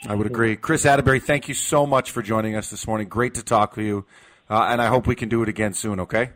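Low-voiced man hosting sports radio. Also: fairly even intonation, fast, a bit bright. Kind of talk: unscripted live sports talk radio hosting.

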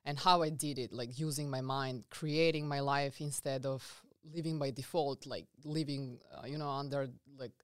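A woman from Serbia with a Serbian accent, a guest coach telling her story in a casual interview, earnest and halting with many fillers and restarts. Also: extremely low pitch, fairly even intonation, clean tone, no echo, clean studio sound.

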